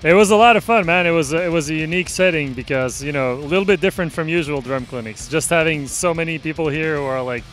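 An upbeat male drummer talks quickly and friendly. His voice is slightly high. Faint music plays underneath. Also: a bit bright. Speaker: guest drummer.

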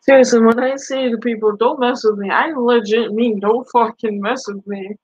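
A woman's voice: a little high, fairly even intonation, normal speed, a little mellow, slightly rough, no room sound. Brash, foul-mouthed Boston woman streamer. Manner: brash, profane trash talk. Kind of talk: live stream chat reply. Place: small bedroom. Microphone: headset mic. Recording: dull lo-fi stream audio.

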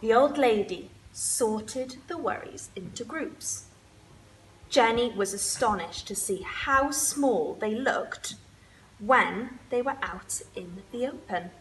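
Young female storyteller for picture books: expressive, slow-paced, slightly high voice. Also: slight background noise, low-quality recording. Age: young adult. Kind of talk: expressive children's story reading. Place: indoors, room with wood-panelled wall and sofa.

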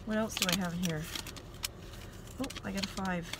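A woman's voice: medium pitch, steady tone, moderate pace. Woman in her forties, casual rambling car vlog, phone clipped to the vent, heavy road noise. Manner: chatty and self-deprecating, rambling with restarts. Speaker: vlogger. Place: inside a parked and driving car at night. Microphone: phone clipped to the dashboard vent.

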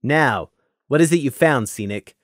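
A narrator voicing a stern, gruff male pegasus squad leader who talks low and commanding. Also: expressive, measured pace, no echo, clean studio sound, natural voice. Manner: commanding, low-voiced, scolding.

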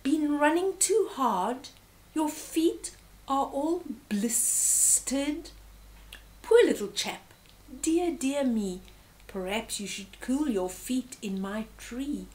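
A woman's voice: high voice, animated, slow pace, a little mellow, pitched above the performer's own voice, low-quality recording. A woman voicing a sly male python in a storybook, with a hissing, whispery voice and oily, mock-polite sympathy. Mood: sly coaxing.

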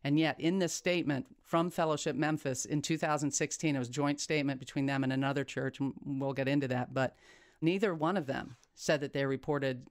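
A man's voice: medium pitch, steady tone, fairly quick, clear, resonant tone, no echo, clean studio sound. A man hosting an investigative interview, asking probing questions and stressing key points emphatically. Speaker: podcast interviewer.

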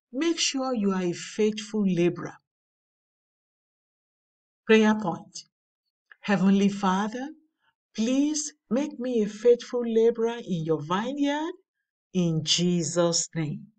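A woman reading a daily devotional aloud in a measured, earnest way. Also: medium pitch, lively intonation, darkish tone, distant mic. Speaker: devotional reader. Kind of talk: slow scripted devotional reading. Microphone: voice-over over slides.

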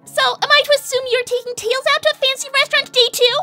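Woman voicing fiery young female hedgehog, squeaky-high and bright, indignant streak. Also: fairly even intonation, fast talker, faint music behind. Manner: gushing, dramatic, indignant. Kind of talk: fan-made cartoon voice acting.

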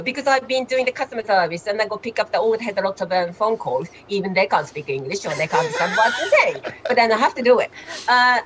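A woman from Japan, a native Japanese speaker, talks in English with a strong Japanese accent and halting, non-native grammar during a casual video call chat. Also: mid-range voice, fairly bright tone, slight background noise, low-quality recording. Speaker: guest. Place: home room, indoors. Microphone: webcam on a video call.

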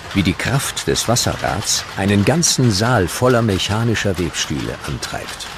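Very deep-voiced male documentary narrator. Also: background noise. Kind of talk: scripted historical narration. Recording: music behind the narration.

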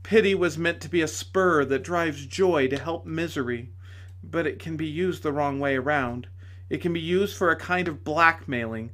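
A man reading aloud voices a kind, joyful woman who pleads softly and earnestly. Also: fairly high pitch, moderate pace, close to an everyday voice. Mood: urgent pleading. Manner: soft, earnest, urgent pleading. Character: gentle, joyful heavenly lady, pleading.

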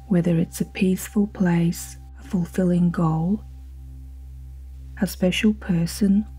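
A woman guiding a sleep hypnosis in a slow, soothing, soft-spoken manner with breathy phrase endings. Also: on the low side, rough recording. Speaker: hypnosis guide. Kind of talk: guided sleep hypnosis narration. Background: ambient music with singing bowl tones.

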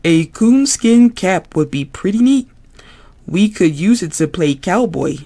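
A storybook reader voicing a cheerful, giggly puppet boy who talks excitably. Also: mid-range voice, a little slow, pitched above the performer's own voice. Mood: hesitant. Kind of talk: storybook read-aloud.

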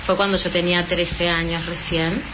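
A woman's voice: fairly low pitch, level tone, normal speed, muffled recording, noisy background. Chilean-born woman in her fifties, hesitant. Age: 50s. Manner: hesitant, many fillers and restarts. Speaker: interview guest. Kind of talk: casual interview.

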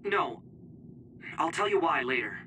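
A lively, reassuring young operator. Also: male voice, fairly high pitch, unhurried, a little hiss or hum. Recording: game cutscene with music behind.

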